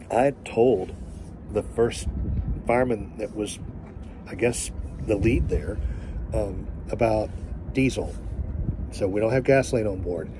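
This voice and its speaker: Earnest lower-voiced man filming outdoors. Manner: emphatic, earnest, pauses to find words. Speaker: family vlogger. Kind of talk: casual storytelling to camera. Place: outdoors by a boat in sunlight. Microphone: phone held at arm's length. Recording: outdoor phone audio with background noise.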